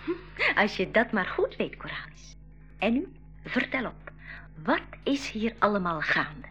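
A woman's voice: medium pitch, sing-song, measured pace, a bit bright. An actress in a radio play voicing a bold, cheeky young girl who questions insistently and with spirit. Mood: defiant. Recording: dull 1980s radio play, faint music.

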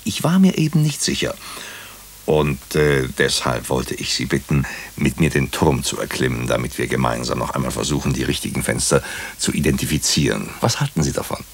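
An actor playing a wry doctor and amateur sleuth who also narrates a German radio drama, with a husky, gravelly voice and a dryly humorous manner. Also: male voice, extremely low pitch, expressive, a little fast, slight background noise, exaggerated voice. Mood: intent, persuasive.

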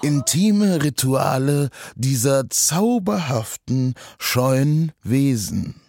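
An actor playing an awed, patronizing ethnologist who narrates like a pompous nature documentary in a stage play, with a husky voice and dramatic pauses. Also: male voice, medium pitch, very animated, darkish tone, no echo, studio quality, exaggerated voice. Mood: awed, fascinated. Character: mock expedition ethnologist, awed, patronizing male wildlife narrator.

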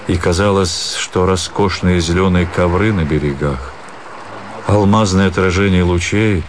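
A man narrating a literary story for a radio drama in a measured, expressive storytelling manner. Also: deep voice, slight background noise. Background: music.

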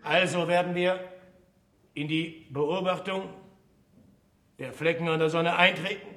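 An actor playing a stubborn, passionate scientist and teacher in a radio play, speaking in a deliberate, emphatic, declamatory way. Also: male voice, on the high side, fairly even intonation, fairly bright tone. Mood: fervent resolve. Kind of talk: German theatrical radio play acting. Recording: roomy, reverberant radio play recording.

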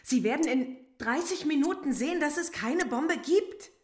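An actress playing a philosophy professor in a radio play, insistent and defiant under police questioning. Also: female voice, sits high, lively intonation, moderate pace. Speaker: detainee.

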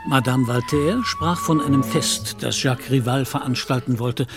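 A man reading literary narration for an audio drama in a measured, articulate way. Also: mid-range voice, over music.

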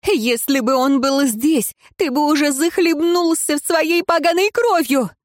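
A woman voicing a defiant female prisoner who mocks and screams at her jailer in a high, sneering voice. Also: measured pace, no echo, clean studio sound, lightly acted. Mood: shrieking rage.

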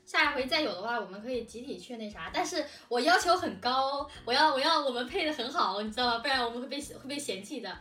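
A woman's voice: high-pitched, lively intonation, fast talker, few pauses, sharp tone, echoey room, distant mic, soft background music. A woman chatting casually and animatedly, giggly and talkative, as one of an audio drama team. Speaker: audio drama production team member, co-host. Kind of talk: casual behind-the-scenes chat.

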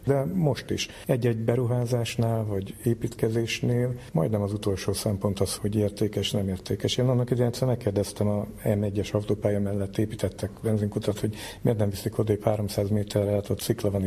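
Husky, mellow man explaining in flat tones. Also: on the low side. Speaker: academic scientist, interview guest. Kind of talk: informal expert explanation.

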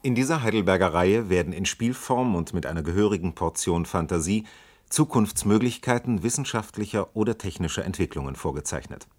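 A man announcing a radio drama in a formal, measured, scripted German broadcast style. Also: sits low, darkish tone.

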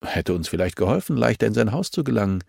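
A man's voice: fairly low pitch, very animated, fast talker, creaky, darkish tone, no room sound, voice pushed up, exaggerated voice. Guy reading as a businesslike woman detective, wry, insistent, close dry audiobook sound. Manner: matter-of-fact, politely insistent. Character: composed, businesslike female investigator, firm and wry.

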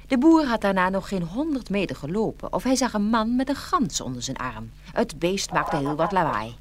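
A woman narrating a children's fairy tale from a script in an expressive storytelling manner. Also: mid-range voice, moderate pace, a little hiss or hum.

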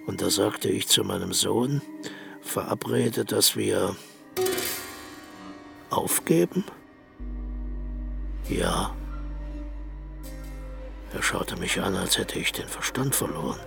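An actor playing a haunted aging dictator in a radio play, brooding and slipping into whispers. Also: male voice, deep voice, animated, slow, long pauses, full character voice. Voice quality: gravelly, creaky voice. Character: aging male dictator, haunted, recalling his own death. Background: music.

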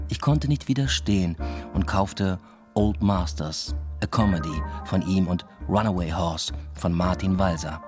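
An actor playing a reflective, noncommittal young German student in a radio drama, with an understated, reserved delivery. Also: male voice, deep voice. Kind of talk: radio drama, first-person literary narration and dialogue.

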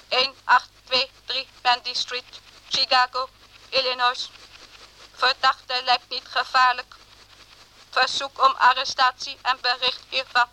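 An actress playing an impersonal clerk in a radio drama, reading out official messages in a clipped, formal monotone. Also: female voice, high-pitched, a little hiss or hum, full character voice, rough recording. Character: impersonal woman clerk reading out official police telegrams and memos. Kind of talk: radio drama, flat bureaucratic read-out.

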